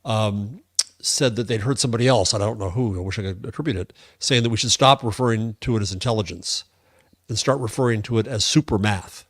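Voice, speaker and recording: A man's voice: lowish voice, a little fast, a bit bright, no echo, studio quality. A man with an American accent co-hosting a tech podcast, casually reasoning aloud and offering his opinions. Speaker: podcast co-host.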